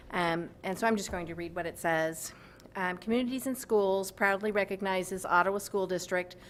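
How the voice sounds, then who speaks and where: A woman giving informal, chatty remarks at a school board meeting, with many ums and restarts. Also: medium pitch. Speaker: community nonprofit representative. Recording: echoey room, distant mic, noisy.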